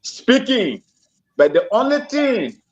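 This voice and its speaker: A man, an Igbo speaker, calling in and talking politely in a halting way. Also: extremely high pitch, very animated, lo-fi sound, a little hiss or hum. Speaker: caller. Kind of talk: casual call-in talk.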